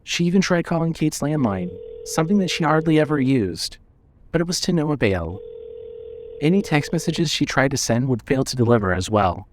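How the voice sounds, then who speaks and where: A man's voice: on the high side, big pitch swings, normal speed, a little hiss or hum. A man narrating a scripted story as the narrator of an audio drama.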